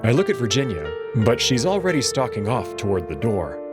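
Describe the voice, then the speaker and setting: An uneasy, stubborn young man played by a male actor tells his visual novel story in first person. His voice sits slightly low and moves expressively, introspective and hesitant, over clearly audible music. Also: huge pitch swings, a little fast. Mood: brooding, subdued. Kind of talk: first-person narration with acted dialogue.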